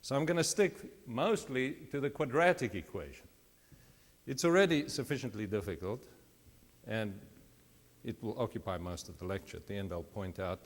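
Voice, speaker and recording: Man lecturing in a reverberant hall. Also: medium pitch. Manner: conversational, self-deprecating asides. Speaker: mathematics lecturer. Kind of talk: public lecture.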